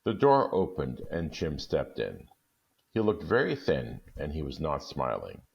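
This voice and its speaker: Brief: male story reader, very deep and gravelly, lively storytelling, dull lo-fi recording. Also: measured pace. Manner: expressive, lively. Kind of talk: storytelling narration.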